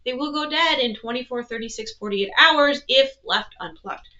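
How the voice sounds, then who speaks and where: A woman's voice: sits high, normal speed, bright, forceful tone, muffled recording. A woman presenting an instructional product walkthrough, explaining things step by step. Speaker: presenter, weather educator.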